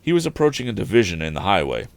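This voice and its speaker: A man reading an adventure story aloud in an expressive audiobook style. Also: fairly low pitch, racing, gravelly, no room sound.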